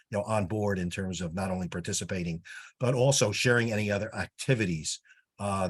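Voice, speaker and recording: A man's voice: deep voice, husky. A man giving an informal, wordy, businesslike update on a conference call. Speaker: meeting participant giving a report. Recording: teleconference call audio.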